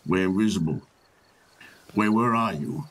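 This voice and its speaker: Slow, animated low-voiced man, slightly distant. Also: slight background noise. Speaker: tabletop game player. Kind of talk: casual game banter.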